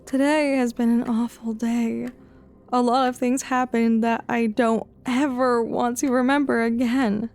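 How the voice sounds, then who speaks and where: A woman playing a troubled, weary high school girl in an audio drama, brooding and talking to herself. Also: level tone, measured pace, a little mellow. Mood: anguished, weary.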